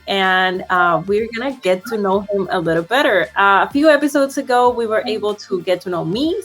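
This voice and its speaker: A woman's voice: medium pitch, fairly bright tone. A woman hosting a casual interview, friendly and laughing, with many fillers. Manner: friendly, laughs between questions, uses fillers. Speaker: interview host.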